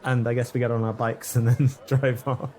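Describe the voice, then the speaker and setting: A man's voice: medium pitch, flat delivery, moderate pace, a little mellow, some chatter behind. A man with a British accent chatting casually as a player in a tabletop game.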